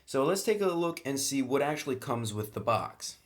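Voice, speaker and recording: Guy unboxing a tabletop game on camera, relaxed chatty review, mid pitch, says actually a lot. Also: moderate pace. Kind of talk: casual product review, unboxing. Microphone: camera mic on a tripod.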